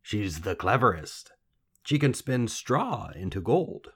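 Man acting a wheedling, excitable old weaver, pitch raised. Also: slow, slightly rough, exaggerated voice. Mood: cunning, boastful. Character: cunning, eager, fussy old weaver father.

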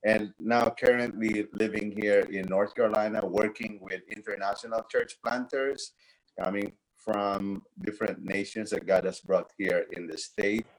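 A man's voice: on the low side, fairly even intonation, normal speed, poor audio. A man in his fifties, a pastor talking in a pious, filler-laden way in a casual chat. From Philippines. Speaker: pastor working with church planters. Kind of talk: casual video-call chat.

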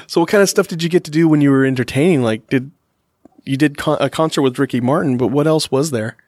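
A man hosting a podcast interview, enthusiastic and quick to laugh as he sets up questions for his guest. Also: a little high, darkish tone. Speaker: podcast host.

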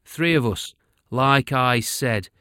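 A man's voice: medium pitch, slow pace, sharp tone, clean tone, no room sound, clean studio sound. A man voicing an arrogant, mocking male enemy captain in a storybook reading, drawing out his taunts. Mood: taunting. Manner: taunting, sneering.

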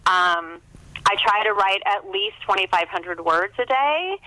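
A woman's voice: mid-range voice, expressive, measured pace, sharp tone, no room sound. A middle-aged woman talking in a casual, chatty, self-deprecating way, as an interview guest on a phone call. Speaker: author being interviewed.

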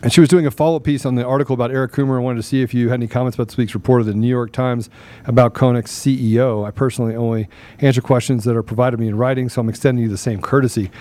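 A man's voice: medium pitch, fairly even intonation, racing, warm tone. Man hosting a live talk show. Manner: repeats phrases for emphasis. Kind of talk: casual live talk, sometimes reading questions aloud.

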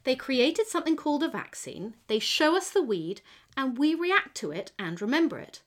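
A woman's voice: high voice, sing-song, normal speed. A woman playing a friendly, proud T cell gardener who explains things patiently in a children's educational audio story. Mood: reassuring, proud. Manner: explanatory, teacherly, encouraging.

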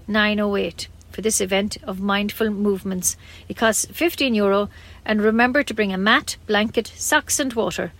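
A woman's voice: on the high side, fairly bright tone. A woman with an Irish accent reading scripted local news as a community radio newsreader.

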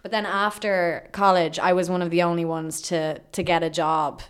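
Irish woman, 30s, low-ish voice, chatty rambling guest storyteller. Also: level tone, moderate pace, smooth. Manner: chatty storyteller, lots of fillers, laughs often. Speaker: guest, radio journalist. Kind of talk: casual podcast chat.

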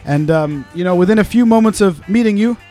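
A man's voice: sits high, brisk, warm tone, faint music behind. A man hosting a casual podcast interview in a studio, chatty and playful. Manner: chatty, playful promo delivery. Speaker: podcast host.

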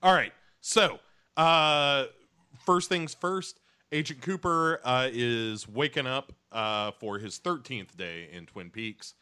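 A man's voice: mid-range voice, takes it slowly, bright, forceful tone, smooth. A young man co-hosting a podcast, recapping an episode in a laid-back, self-deprecating way. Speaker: podcast co-host. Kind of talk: casual chat, recapping episodes.

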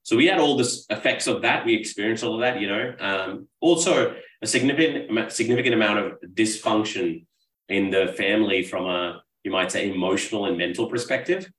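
Deep-voiced man, distant and roomy. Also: a little fast, a bit bright. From Pakistan. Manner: talkative, reflective, earnest. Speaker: podcast guest, business coach. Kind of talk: casual interview storytelling. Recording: roomy echo, distant mic.

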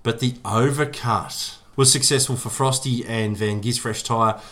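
A man's voice: mid-range voice, steady tone, a little slow, a bit bright. An Australian man co-hosting a casual podcast chat in a pedantic, dryly sarcastic manner. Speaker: co-host.

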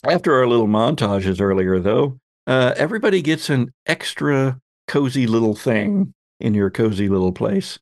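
A man's voice: medium pitch, animated, moderate pace, no echo, studio quality. A man hosting a tabletop roleplaying game as game master, narrating casually in an easygoing, chatty way.

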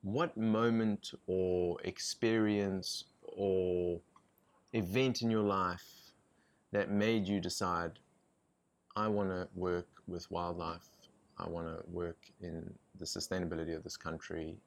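A man co-hosting a podcast, asking calm, measured interview questions. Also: sits low. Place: riverbank outdoors.

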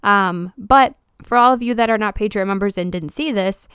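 A woman's voice: mid-range voice, moderate pace, a bit bright, smooth, dry recording, clean studio sound. A woman hosting a solo podcast, talking in a chatty, informal way with frequent fillers. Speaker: houseplant podcast host. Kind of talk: casual solo podcast talk.

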